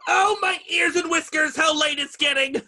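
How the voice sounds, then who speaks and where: A man's voice: extremely high pitch, moderate pace, bright, forceful tone, raised voice, exaggerated voice. A man playing a fretting, panicked rabbit who is running late in a storybook drama. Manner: panicked, exclaiming.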